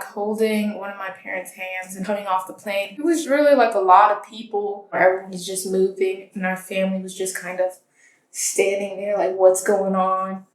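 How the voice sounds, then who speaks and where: Young woman telling stories casually, mid pitch voice, room echo on the recording. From born in Tanzania, grew up in the United States. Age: young adult. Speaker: interviewee. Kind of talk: casual personal storytelling. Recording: roomy, echoing interview recording.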